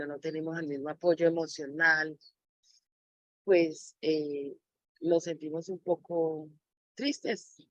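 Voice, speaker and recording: A woman's voice: low-pitched, no echo, lo-fi sound. A woman speaking reflectively as an interviewee, sharing personal experience as a mother. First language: Spanish.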